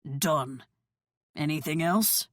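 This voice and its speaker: A woman playing a calm, commanding father who runs a crime family in an audiobook. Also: sits low, slow, no room sound, clean studio sound. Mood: measured, businesslike. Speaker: audiobook narrator voicing dialogue. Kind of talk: audiobook character dialogue.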